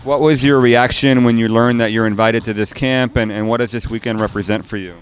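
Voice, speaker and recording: A man's voice: medium pitch, steady tone, fairly quick, smooth. A male scouting reporter asking interview questions, with frequent hesitations and fillers.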